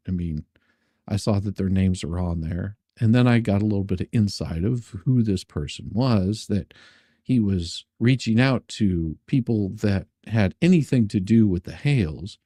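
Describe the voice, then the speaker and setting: A man hosts an informal livestream in a low, mellow voice. His tone is dark and a little husky, captured close and dry, with a sarcastic streak in how he talks and reads. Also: animated, normal speed, no room sound. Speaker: streamer, host of his own channel. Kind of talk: casual livestream chat and dramatic reading of letters.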